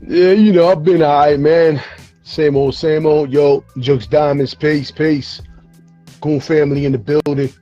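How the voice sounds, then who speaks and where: A man's voice: a little high, steady tone, a little slow, warm tone, muffled recording, faint music behind. A man hosting a casual hip-hop interview show over a video call, tired and losing his voice, talking in a laid-back, slangy way with plenty of fillers and cursing. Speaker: show host.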